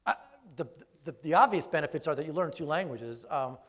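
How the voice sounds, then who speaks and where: A man's voice: a little high, animated, brisk. Thoughtful, hesitant man answering questions. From raised speaking Greek first. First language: Greek. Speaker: researcher giving a talk, answering questions. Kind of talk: live Q&A after a lecture.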